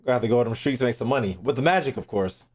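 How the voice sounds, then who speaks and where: A man's voice: mid-range voice, racing, darkish tone, husky. A man doing casual livestream commentary on a game, slangy and sweary, muttering short reactions. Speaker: streamer reacting to game lore.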